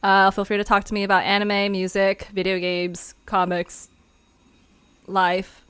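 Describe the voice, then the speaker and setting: A woman's voice: medium pitch, monotone, a little slow, fairly bright tone, smooth, no room sound. A woman hosting a fiction podcast, chatting casually in a playful, informal way. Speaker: host and creator of a fiction podcast. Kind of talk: casual chat.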